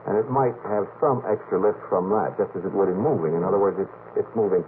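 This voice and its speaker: A fairly low male voice sounds thin and band-limited, with faint noise from an old radio recording. He explains things in a measured, conversational manner, pausing to find the words. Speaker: panelist, machinist. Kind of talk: technical explanation in casual discussion. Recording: noisy vintage radio, narrow tinny band.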